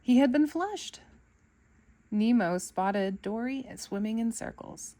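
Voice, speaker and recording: A woman storyteller reading a children's book aloud in an expressive narrating style for kids. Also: on the high side, slow.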